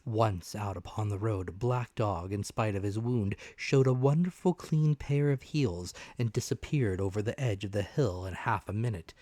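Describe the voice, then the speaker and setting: A man's voice: on the low side, moderate pace, few pauses, warm tone, dry recording. A man giving a dramatic narrated reading of a classic adventure novel. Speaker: audiobook narrator.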